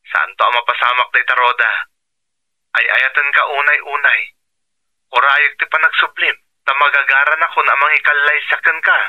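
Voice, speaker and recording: A male actor plays an affectionate, concerned husband with a Filipino accent. He has a mid-pitched voice in radio drama style. The sound is dull and lo-fi, as on a phone call. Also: fairly even intonation, normal speed, lightly acted. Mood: caring. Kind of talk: Ilocano radio drama acting.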